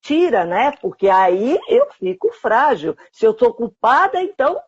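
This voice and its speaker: A woman's voice: a little high, sing-song, measured pace, darkish tone, husky, lo-fi sound. A woman, a psychotherapist guest explaining ideas in a conversational way, often checking in with little tag questions.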